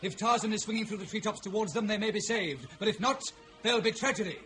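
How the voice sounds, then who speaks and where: A man's voice: sits high, quick pace, fairly bright tone, a little hiss or hum. A man narrating an old radio adventure serial as a dramatic announcer. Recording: old radio broadcast with music. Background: music.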